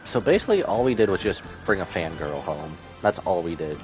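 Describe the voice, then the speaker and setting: A woman with a very low, animated voice gives casual livestream reactions over game music. She comes across as wry, playful and teasing. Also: brisk, low-quality recording. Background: video game music.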